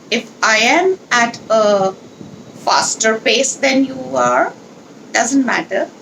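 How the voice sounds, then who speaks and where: A woman leading a children's read-along, speaking in an instructional, deliberate, explanatory way with pauses. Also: a little high, vocal fry, a bit bright, a little hiss or hum, rough recording. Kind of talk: instructional talk and storybook read-aloud.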